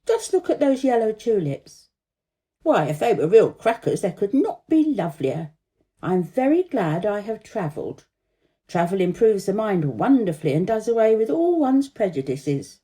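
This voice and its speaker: A woman's voice: mid-range voice, big pitch swings, moderate pace, mellow, close to an everyday voice. A woman voicing a little, eager, naive squib firework in a children's storybook, enthusiastic and pleased with itself. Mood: delighted.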